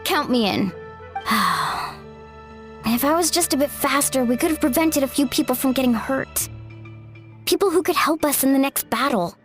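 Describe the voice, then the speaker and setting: A woman's voice: sits high, animated, normal speed, faint music behind. A woman playing an upbeat, loyal young female adventurer in a video game, with a breezy, friendly manner. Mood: casual, friendly. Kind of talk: video game character acting.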